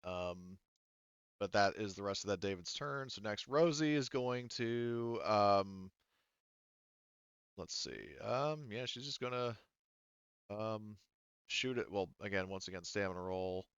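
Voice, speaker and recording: A man's voice: lowish voice, a little slow, long pauses, a bit bright, clean tone, no room sound, dull audio. A man narrating a tabletop roleplaying game as game master in a casual way, thinking aloud and swearing freely.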